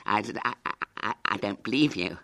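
Man acting a whiny, high-pitched gullible schoolboy. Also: animated, moderate pace, sharp tone. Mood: anxious, stammering.

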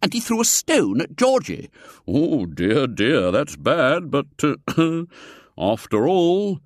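A man doing a hearty jovial old gentleman. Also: fairly high pitch, huge pitch swings, takes it slowly, no room sound, clean studio sound, voice pushed up, full character voice. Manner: hearty, genial.

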